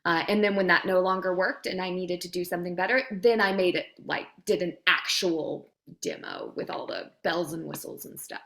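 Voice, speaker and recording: A woman's voice: on the low side, moderate pace, vocal fry, fairly bright tone. A woman speaking as a guest coach in an online Q&A, giving encouraging advice in an informal, validating, conversational way. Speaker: guest coach, voice demo producer.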